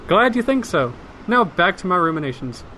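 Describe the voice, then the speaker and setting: A man's voice: high-pitched, sing-song, moderate pace, pushed and bright, slight background noise, heavily acted. A man playing a blunt, unbothered magic user with a dry, pedantic manner in a comedic audio drama. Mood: matter-of-fact. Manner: dry, matter-of-fact, pedantic. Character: Mike, blunt unbothered magic user guiding his reluctant friend.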